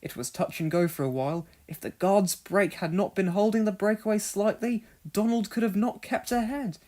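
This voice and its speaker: A narrator reading a children's read-along story expressively, in deliberate phrases. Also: mid-range voice, warm tone.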